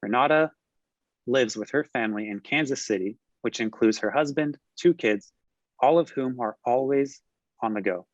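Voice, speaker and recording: A man moderating a business webinar, smoothly reading scripted announcements and introducing the speaker. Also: mid-range voice, moderate pace, dry recording, muffled recording.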